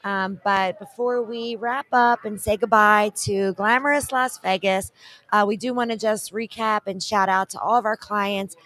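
A middle-aged woman co-hosts a wrap-up from an expo booth set, speaking into a handheld mic. Her voice sits at a middle pitch, and she talks in a bright, promotional way full of thank-yous and shout-outs. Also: fairly even intonation, normal speed, low-quality recording. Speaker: co-host. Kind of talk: event wrap-up chat.

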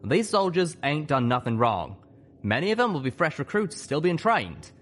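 A man voicing an earnest male pegasus pony soldier in a storybook, with forceful, folksy speech. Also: a little high, sing-song, normal speed, pitched above the performer's own voice.

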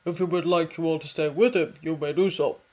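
A woman reading a story voices a calm, wise old male headmaster who speaks in a measured, commanding way. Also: sits low, natural voice. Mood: grave. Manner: measured, grave, quietly commanding.

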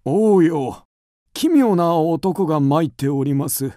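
An actor playing a dutiful, easily alarmed royal chancellor in a radio drama, speaking in a formal, deferential, theatrical way. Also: male voice, on the high side, expressive, takes it slowly, very noisy. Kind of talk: Japanese radio drama acting.

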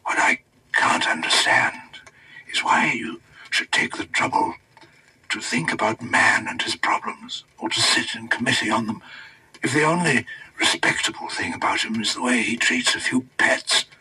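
A man voicing an earnest, wistful king in a storybook reading, with a hushed, breathy voice. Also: mid-range voice, lively intonation, normal speed, in a whisper, full character voice, rough recording. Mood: weary, puzzled. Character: earnest, wistful new king, male, troubled pupil.

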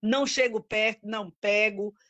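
A middle-aged woman on an audio-only video call at home, talking in a halting, worried, rambling way. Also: medium pitch, steady tone, sharp tone. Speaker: group participant. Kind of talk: casual chat on a video call.

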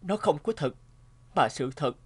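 A man playing a troubled, sentimental family man in an animated drama, speaking earnestly and reflectively. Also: on the high side, unhurried, breathy edge. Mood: pained.

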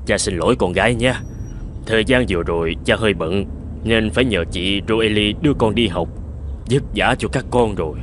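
Low-voiced caring cartoon dad acted by a man. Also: normal speed, noisy background, natural voice. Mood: gentle, apologetic. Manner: gentle, indulgent, fatherly. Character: caring, busy middle-aged father of two girls. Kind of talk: cartoon dubbing. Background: music.

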